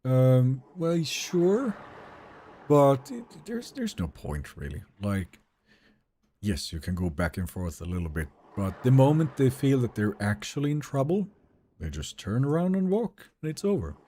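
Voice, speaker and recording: A man with a Swedish accent, live-streaming a game and chatting with viewers in a relaxed, talkative way. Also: medium pitch, unhurried, a little hiss or hum. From Sweden. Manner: relaxed, chatty, answers chat questions.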